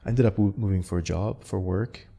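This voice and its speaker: Deep, gravelly male founder as interview guest, loose and chatty with restarts. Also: a little fast. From Canada. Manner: relaxed, many fillers and restarts. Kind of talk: casual interview talk.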